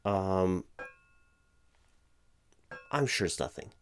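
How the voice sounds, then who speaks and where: A man playing a flustered, distracted hiring manager in a comedic audio roleplay, speaking in a halting, stammering, awkwardly formal way. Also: low voice, smooth, soft background music. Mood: rattled, hesitant. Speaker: performer playing a job interviewer. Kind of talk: one-sided comedic audio roleplay, loud spoken.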